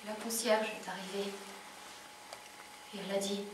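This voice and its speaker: An actress playing a wistful, fiery, worn-down laundress in a dramatic theatrical monologue. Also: female voice, medium pitch, little pitch movement, moderate pace, close to an everyday voice. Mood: desperate, weary. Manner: dramatic storytelling, swinging from hushed to shouted. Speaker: actress performing a stage monologue. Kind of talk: theatrical French monologue with sung passages. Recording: echoey room from far off, faint noise.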